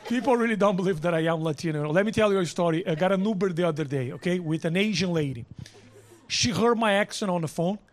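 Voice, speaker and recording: A man's voice: sits high, fast talker. A man with a Brazilian accent performing stand-up comedy, emphatic and repeating his lines, swearing freely. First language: Portuguese. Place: live comedy show with audience. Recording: very noisy live stand-up recording. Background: loud noise, audience laughter.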